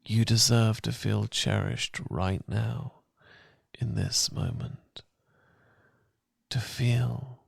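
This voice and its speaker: A British man performing soothing, intimate ASMR as a loving boyfriend, speaking softly and gently, at times near a whisper. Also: medium pitch, no echo, studio quality. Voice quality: soft, breathy, gravelly, partly whispered. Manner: gentle, calming, intimate, unhurried. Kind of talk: romantic ASMR, soothing guided meditation. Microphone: close, dry ASMR miking.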